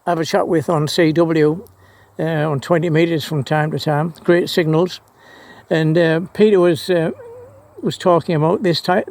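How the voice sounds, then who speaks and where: A man giving a casual, unscripted explanation as a radio hobbyist, halting and rambling, often stammering into restarts and repeating his phrases. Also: high-pitched, darkish tone. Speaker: amateur radio hobbyist presenter. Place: outdoors by trees and a fence.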